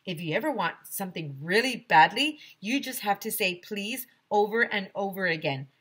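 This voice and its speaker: A woman teacher giving an expressive children's read-aloud, explaining things clearly with asides for young listeners. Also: mid-range voice, moderate pace. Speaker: teacher reading aloud to pupils.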